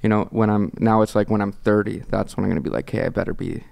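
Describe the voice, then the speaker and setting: Low gravelly young man, laid-back guest. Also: fairly even intonation, darkish tone, dry recording. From Canada. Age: 20s. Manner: laid-back, halting, with restarts. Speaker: guest musician. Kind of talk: casual interview chat. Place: dark podcast studio. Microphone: studio mic on boom, headphones.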